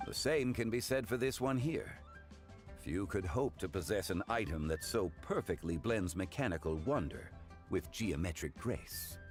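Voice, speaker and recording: Deep-voiced, courtly, learned gentleman. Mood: learned, assured. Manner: formal, measured, eloquent, unhurried. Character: composed, learned, courteous man of immortal wisdom, consultant. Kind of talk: video game character dialogue.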